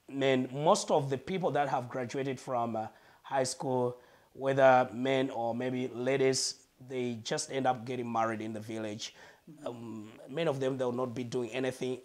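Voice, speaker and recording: A Malawian man, a guest on a lapel mic in a living room, earnestly telling his life story and pausing to search for words. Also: medium pitch.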